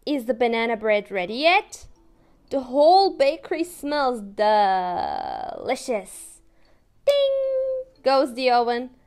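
A young woman with a Turkish accent, an English teacher reading a picture book aloud to toddlers in a slow, sing-song, playful way. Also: high-pitched, very animated, darkish tone. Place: small room indoors.

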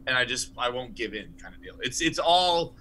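Bright-voiced man on a video call. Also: medium pitch, expressive, fairly quick, slight background noise, poor audio. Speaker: guest. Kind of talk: casual podcast chat.

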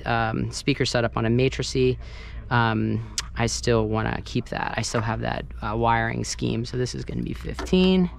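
A man giving a casual, hands-on tutorial as a sound engineer, talking informally while he works. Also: medium pitch. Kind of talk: casual first-person tutorial walkthrough. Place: large carpeted banquet hall with wooden dance floor. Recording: noisy room ambience, clearly audible. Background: room noise and ambience.

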